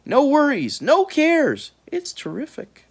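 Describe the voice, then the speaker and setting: Woman voicing a breezy, smooth-talking big bird, swooping. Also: sits high, wildly swooping pitch, slow pace, voice pushed up, full character voice. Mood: coaxing. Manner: persuasive salesman-like patter.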